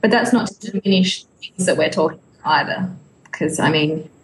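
A woman co-hosting a casual podcast discussion, speaking informally and a little haltingly as she thinks aloud. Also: on the low side, poor audio. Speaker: podcast co-host.

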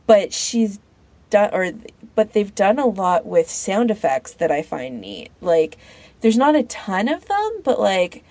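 A woman on a podcast panel chatting casually in an informal, halting way, as if thinking aloud. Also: medium pitch, sing-song, darkish tone, dry recording. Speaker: podcast panellist recommending fan audio fiction. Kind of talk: casual chat.

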